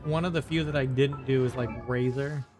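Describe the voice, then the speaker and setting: A man gives casual gameplay commentary in a middling pitch. He talks offhandedly, half muttering as he reads along, with game music playing behind him. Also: steady tone, fairly quick, darkish tone. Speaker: game streamer.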